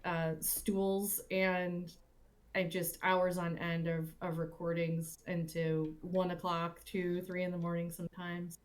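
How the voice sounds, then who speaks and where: Woman, slightly low pitch, reflective casual talk, thoughtful halting phrasing. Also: flat delivery. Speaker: cast or crew member on a panel.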